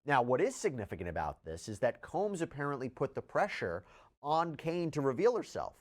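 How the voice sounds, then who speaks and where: Male legal news commentator, explanatory and emphatic, lively varied pitch. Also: a little fast.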